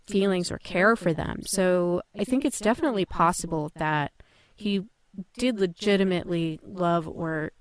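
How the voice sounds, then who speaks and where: Lower-voiced woman in casual, thoughtful discussion. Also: normal speed. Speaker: podcast panelist.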